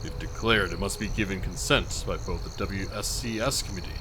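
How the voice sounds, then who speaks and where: A knowing male fighter, voiced by an actor for a visual novel. He is serious and matter-of-fact in a lowish voice, with clear noise in the background. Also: a little fast, lightly acted. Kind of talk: scripted visual novel voice acting.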